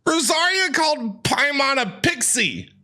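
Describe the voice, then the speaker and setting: A man streaming a video game, giving playful, gushing and excitable commentary. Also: extremely high pitch, unhurried. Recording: game music behind the voice.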